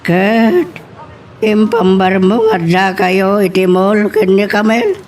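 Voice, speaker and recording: A man acts out an elderly grandfather for an Ilocano radio drama. He speaks slowly in a high-pitched voice. He sounds like a fond family elder. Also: big pitch swings, recorded from a distance, a crowd in the background, full character voice. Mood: concerned. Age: older. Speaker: actor in an audio drama.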